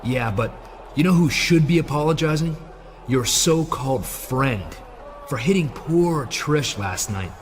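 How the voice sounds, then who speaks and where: An actor playing a cocky, sneering wrestling villain in a video game, with noise behind him. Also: male voice, mid-range voice, animated, a little slow, natural voice. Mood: accusing. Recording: noisy game cutscene audio.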